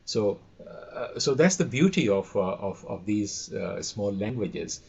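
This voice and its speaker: A man sharing anecdotes conversationally in a halting way, repeating words, on dull lo-fi audio. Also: lowish voice, expressive, creaky, a little mellow, slightly rough. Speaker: podcast guest, radio trainer.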